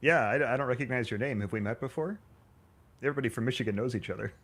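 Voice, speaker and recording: A man's voice: mid-range voice, rapid-fire, fairly bright tone, husky. A man co-hosting morning radio from home, cracking dry quips and reading the weather forecast. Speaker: weather presenter and co-host. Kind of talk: casual morning radio banter. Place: at home, remote.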